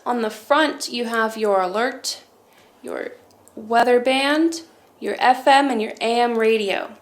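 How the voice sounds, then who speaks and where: A woman's voice: fairly high pitch, takes it slowly. A woman presenting a casual product demonstration, explaining things step by step.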